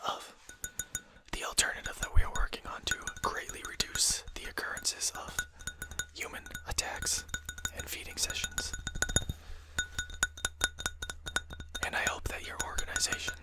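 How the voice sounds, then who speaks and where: A performer voicing a courteous but condescending vampire in a whispered ASMR roleplay, speaking formally and with measured care. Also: extremely high pitch. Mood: civil, magnanimous. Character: courteous, condescending vampire manor lord, amused and civil.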